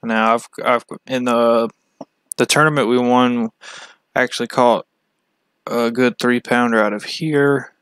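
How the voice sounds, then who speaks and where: A man talks through a casual walkthrough at a very slow pace, stopping often between short phrases. His voice is smooth and clear, recorded close and very dry. The delivery is plain and matter-of-fact, like a hobby channel host explaining something on screen. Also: medium pitch, steady tone, a bit bright, no echo.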